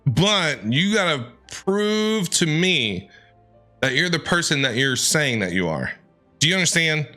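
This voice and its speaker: Bright, animated American man streaming. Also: a little high, unhurried. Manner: playful, talks back to the characters. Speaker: streamer reacting to a game. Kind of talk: casual reaction commentary. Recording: game music playing behind.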